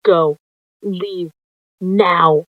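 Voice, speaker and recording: A narrator voicing a distraught, furious female cat in a roleplay story, shrill and emphatic. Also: fairly even intonation, extremely slow, no echo. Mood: furious, accusing. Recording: dull lo-fi close dry recording.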